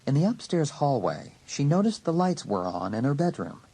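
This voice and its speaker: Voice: mid-range voice, very animated, normal speed, warm tone, husky, rough recording. A narrator reading a scripted, dramatic story for an audiobook.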